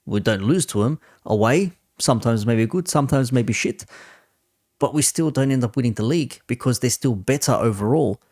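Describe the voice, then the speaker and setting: A man's voice: medium pitch, animated, moderate pace, a little mellow, no room sound, clean studio sound. A man giving casual, opinionated commentary as a host, blunt and sweary in a conversational way. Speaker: host reacting to a football debate stream.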